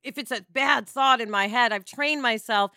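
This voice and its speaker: Female podcast co-host, forceful bright tone, emphatic and informal, high register. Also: normal speed, no room sound, studio quality. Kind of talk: casual conversational podcast talk.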